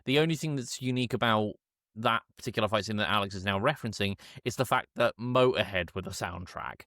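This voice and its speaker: A man's voice: lowish voice, fast talker, fairly bright tone, no room sound, clean studio sound. A man hosting a casual film review podcast, chatty and opinionated, given to tangents. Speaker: podcast host steering the discussion.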